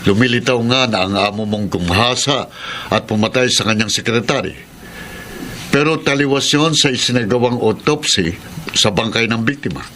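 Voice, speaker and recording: A man as a firm, calm police investigator. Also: mid-range voice, a little hiss or hum, close to an everyday voice. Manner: measured, firm. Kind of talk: 1990s radio drama acting.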